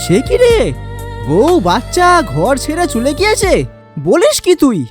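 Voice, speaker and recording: Woman doing kind male vegetable seller, high voice, lively, consoling, animated storybook. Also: a little slow, exaggerated voice. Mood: concerned. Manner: chatty and consoling. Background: background music.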